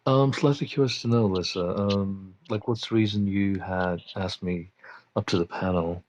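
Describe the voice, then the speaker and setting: A man from Manchester, a guest on a podcast panel, talking in halting bits full of "um" pauses and restarts. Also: sits low, fairly even intonation, a little mellow, muffled recording, a little hiss or hum. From Manchester, England. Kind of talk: casual chat.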